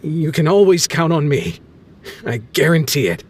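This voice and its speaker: An actor playing an earnest, humble young man in a video game cutscene, speaking sincerely and a little haltingly. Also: a little high, sing-song. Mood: grateful. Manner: sincere, slightly halting with fillers. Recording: faint game music behind.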